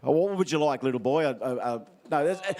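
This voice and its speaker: A man's voice: fairly high pitch, expressive, fast, dark tone. An Australian man acting as MC at an outdoor event, handing out prizes with playful, teasing crowd banter.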